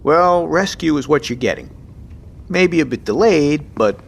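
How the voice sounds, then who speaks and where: Man acting lively, commanding navy captain. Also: on the high side, a little slow, vocal fry, heavily acted. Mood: calm, firm. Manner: commanding, profane, clipped. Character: gruff, decisive navy submarine captain in command. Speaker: actor in an audio drama. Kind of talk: scripted audio drama acting.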